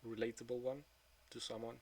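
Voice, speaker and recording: A man of twenty-two speaking in a frank, subdued confessional monologue, swearing often and trailing off into quieter mutters. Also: mid-range voice, flat delivery, a little fast, slight background noise. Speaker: vlogger.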